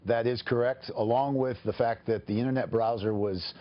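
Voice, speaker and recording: A man speaking as an attorney in a live TV news interview, explaining formally and haltingly. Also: medium pitch, fairly even intonation, muffled recording.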